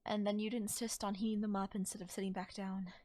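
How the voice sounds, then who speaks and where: A woman playing a caring, affectionate girlfriend in a soft, intimate ASMR audio roleplay, speaking in a tender, coaxing way. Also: mid-range voice, little pitch movement, quick pace, close to an everyday voice. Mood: gently insistent. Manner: tender, soothing, coaxing, breathy near-whispers at times. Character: caring, affectionate girlfriend comforting a tired partner. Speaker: voice actor. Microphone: close microphone in a dry space.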